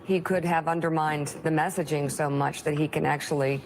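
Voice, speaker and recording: Woman talking news on a replayed tv clip, lowish voice, bit of noise. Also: fairly even intonation, fairly quick. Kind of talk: broadcast news discussion.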